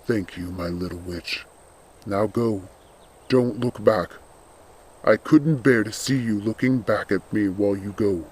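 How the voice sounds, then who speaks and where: A man playing a loyal undead skeletal servant in a storybook, speaking in a grave, earnest, measured and protective way. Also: fairly low pitch, a little mellow, breathy edge. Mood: tender, weary.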